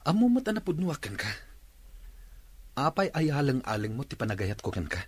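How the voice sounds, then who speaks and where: A man's voice: medium pitch, big pitch swings, moderate pace, husky, no echo. A man with an Ilocano accent playing a jealous, devoted, heartbroken young boyfriend in a radio drama. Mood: jealous, accusing.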